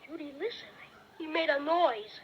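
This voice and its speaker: A woman playing an eager, excitable young boy in a vintage radio play. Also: high-pitched, moderate pace, dull audio, slight background noise. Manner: excited, exclamatory. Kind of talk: 1930s radio play acting.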